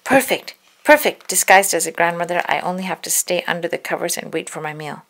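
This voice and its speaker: A woman voicing a scheming male wolf with a deep, sneering, mock-polite manner. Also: expressive, brisk, a bit bright. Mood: gloating.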